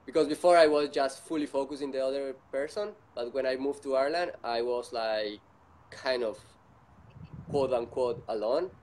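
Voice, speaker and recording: Man speaking halting non-native English. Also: mid-range voice, darkish tone, slight background noise, rough recording. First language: not English. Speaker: guest. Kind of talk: casual conversational interview.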